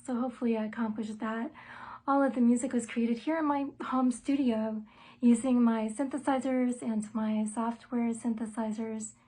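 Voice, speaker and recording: A middle-aged woman with a light voice pitched a little above average. She talks through her musical journey and her album in an easygoing, conversational way. Her pace is measured, and she pauses often on small "um" and "uh" fillers. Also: steady tone, dark tone. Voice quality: light, soprano-range voice. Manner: thoughtful and measured, with frequent "um" and "uh" pauses. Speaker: musician and songwriter interviewed about her album. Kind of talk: self-told interview answers, conversational.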